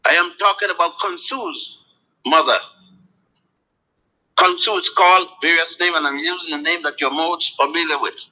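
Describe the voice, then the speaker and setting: A man giving a spoken lecture in a deliberate, emphatic way, letting his pitch fall at the ends of phrases. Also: pushed and bright, low-quality recording.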